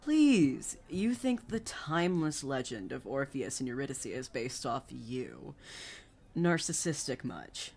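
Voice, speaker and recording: A brash theater director in an audio drama, playfully indignant and theatrical. He banters with sassy emphasis. His voice sits at a medium pitch. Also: big pitch swings, slow, creaky, darkish tone, breathy edge, exaggerated voice. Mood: mock indignant. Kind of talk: naturalistic audio drama acting.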